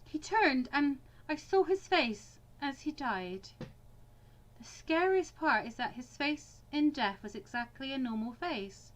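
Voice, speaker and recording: A woman's voice: sits high, animated, a little slow. A woman reading a visual novel aloud expressively, with brief casual reactions of her own. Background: music.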